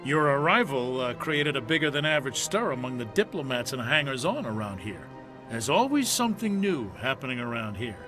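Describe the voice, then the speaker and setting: A man's voice: mid-range voice, big pitch swings, fairly quick, music in the background, natural voice. A man playing a chatty, obliging attendant in a video game, with a gossipy way of talking. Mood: chatty, helpful.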